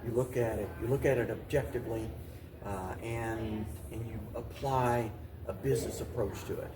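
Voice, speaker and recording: An American man answering interview questions in a deliberate, halting way, often restating his phrases as he goes. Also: on the low side, fairly even intonation, crowd noise. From Paducah, Kentucky, United States. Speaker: mayor and interview guest.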